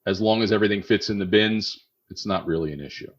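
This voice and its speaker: A middle-aged man with a Philadelphia accent speaks in a low, husky voice. He explains things in a relaxed podcast-guest way and keeps checking in with "okay?". The audio sounds a bit dull and muffled. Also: fast talker. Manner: conversational, often adds "okay?" to check understanding. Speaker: guest expert on warehouse technology.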